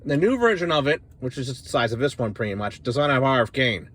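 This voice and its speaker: A man's voice: medium pitch, sing-song, fast talker, a bit bright, slightly rough. A middle-aged American man giving a casual, off-the-cuff gear demonstration in a plain-spoken, rambling, opinionated way. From northern United States. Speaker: CB radio enthusiast reviewing gear. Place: inside a parked car.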